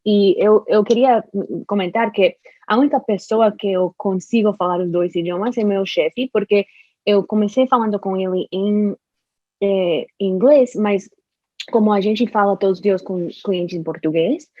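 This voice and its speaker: Woman speaking careful, slow learner's Portuguese. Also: medium pitch, dry recording, highs cut off. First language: Spanish. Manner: slow, careful speech with frequent restarts and laughs. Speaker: interview guest, Portuguese learner. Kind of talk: casual conversational interview.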